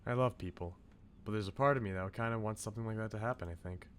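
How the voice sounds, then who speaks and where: A man reading a brooding, self-sacrificing young man's inner thoughts from a visual novel, low and subdued. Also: fast talker, a little hiss or hum, lightly acted. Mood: wistful. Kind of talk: visual novel read-aloud.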